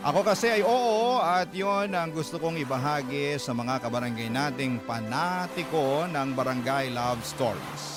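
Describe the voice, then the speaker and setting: A man with a Filipino accent narrating letters as a radio host, dramatic and emotive, with measured pauses. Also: on the high side. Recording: loud music bed behind.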